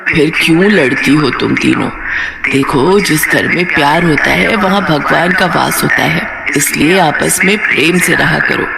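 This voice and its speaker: A woman's voice: deep voice, a little slow, creaky, very noisy, pitched below the performer's own voice, heavily acted. A woman voicing a loving, exasperated older mother-in-law who keeps the peace in a cartoon family story. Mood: gentle, chiding. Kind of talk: Hindi cartoon moral story acting.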